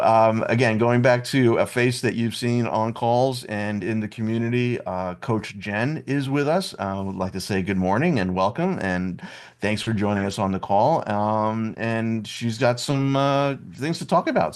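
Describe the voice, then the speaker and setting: A man hosts a live online Q&A session over a video call, his voice hoarse and roughened by an oncoming cold. He talks in a relaxed, chatty way, leaning on frequent "um"s, and his speaking voice sits on the lower side. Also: a little slow. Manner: relaxed, chatty, many "um" fillers.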